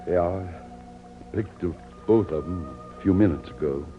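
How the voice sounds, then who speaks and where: An actor playing a steady, grave frontier rescuer in 1950s radio drama style. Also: male voice, low voice, measured pace. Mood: grim. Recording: muffled radio recording with music.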